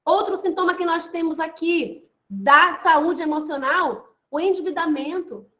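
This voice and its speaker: A woman's voice: very high voice, a little slow, lo-fi sound. A middle-aged woman from Salvador with a light Bahian accent gives an online talk in a chatty way, talking straight to her listeners and often asking if they agree. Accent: light Bahian Portuguese. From Salvador, Bahia, Brazil. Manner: chatty, addresses listeners directly, many tag questions. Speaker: online lecturer and women's mentor.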